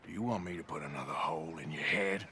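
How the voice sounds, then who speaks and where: An actor playing a gruff, sarcastic outlaw cowboy with an American drawl in a video game cutscene. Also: male voice, a bass voice, fast talker, sharp tone, heavily acted. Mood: irritated.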